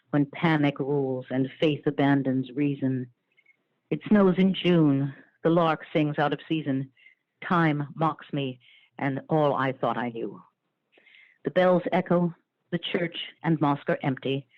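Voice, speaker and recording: A man's voice: fairly high pitch, unhurried, no room sound. A man reciting poetry as an actor, with a solemn, incantatory dramatic delivery. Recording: dry, narrow phone-like sound.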